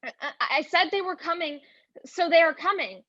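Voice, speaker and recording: Nervous, insistent girl played by a young woman, student drama; notably high, stammering delivery, dry close sound. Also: moderate pace, bright, forceful tone, no room sound, lo-fi sound. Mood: defensive, nervous. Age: young adult. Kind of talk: student one-act stage play acting.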